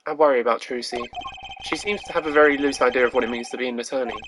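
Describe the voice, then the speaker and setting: A woman's voice: a bass voice, very fast, background noise. A woman playing an earnest, anxious young male lawyer in a video game, speaking with urgent concern. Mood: brooding.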